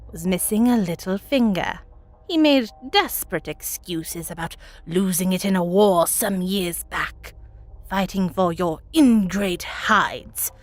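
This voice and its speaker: A woman voicing a very elderly village woman, telling a grim tale from her childhood in a folksy, deliberate, dramatic manner. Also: medium pitch, no room sound. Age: old woman as played. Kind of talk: dramatic first-person storytelling.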